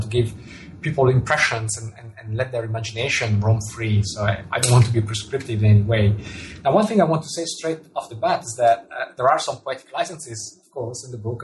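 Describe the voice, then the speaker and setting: A man's voice: medium pitch, slight background noise, low-quality recording. A man, an author and theoretical cosmologist, answering interview questions thoughtfully with many repeats and restarts. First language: Italian.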